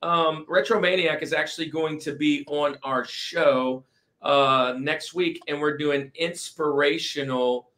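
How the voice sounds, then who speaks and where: A man's voice: fairly high pitch, fairly even intonation, unhurried, a bit bright. A man hosting a podcast in casual, informal chat with an enthusiastic manner. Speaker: podcast host.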